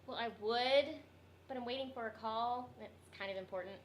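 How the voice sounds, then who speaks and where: A woman playing a deadpan, unsympathetic co-worker in a comedy radio sketch. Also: fairly high pitch, moderate pace, a little hiss or hum, close to an everyday voice.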